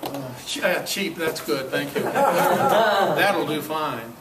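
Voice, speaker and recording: A man giving an informal, halting, jokey show-and-tell talk to a club meeting, in a folksy way. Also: on the high side, very animated. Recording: echoey room, distant mic, clear background noise.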